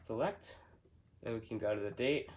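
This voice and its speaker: A man doing an unscripted product walkthrough, halting as he thinks aloud step by step. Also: lowish voice, a little mellow. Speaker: hobbyist product reviewer. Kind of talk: unscripted unboxing and setup walkthrough.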